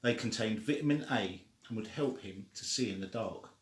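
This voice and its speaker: A man's voice: on the low side, normal speed. A man reading a children's story aloud in an informal, homespun way. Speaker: story reader. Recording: echoey room.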